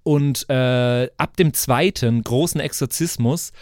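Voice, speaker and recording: A man's voice: mid-range voice, slow, a little mellow, dry recording, studio quality. A man hosting a podcast in casual chat, rambling, slangy and joking. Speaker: podcast host.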